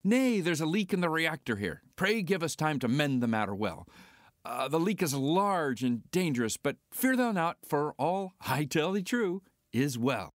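A man's voice: a little high, sing-song, moderate pace, no echo, clean studio sound. A man playing a cocky, irritable smuggler with sardonic delivery in a Shakespearean dramatic reading. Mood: nervous bluffing.

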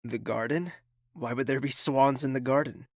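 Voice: medium pitch, normal speed, dry recording, clean studio sound, natural voice. An actor playing a haughty, impatient young royal prince in an audio drama, clipped and condescending. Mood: relaxed, familiar.

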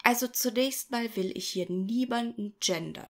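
An actress playing a snippy, irritated young student assistant with a husky voice in a parody radio play. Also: female voice, a little high, moderate pace, a bit bright. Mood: annoyed.

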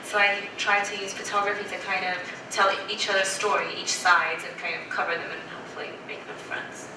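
Woman, panel talk, from the US and Saudi, distant miking, loud background noise. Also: medium pitch, flat delivery, a little fast. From born and raised in the US, also Saudi. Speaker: panelist, documentary photographer. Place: dim auditorium with seated audience. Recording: echoey noisy hall, far from mic.

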